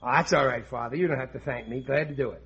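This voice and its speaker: A man's voice: mid-range voice, fast talker, fairly bright tone, lo-fi sound, slight background noise, close to an everyday voice. An actor playing a gullible, self-satisfied middle-aged working-class father in a folksy, blustering 1940s radio comedy style. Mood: eager, self-important. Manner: blustering, folksy, ungrammatical speech. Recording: old radio recording.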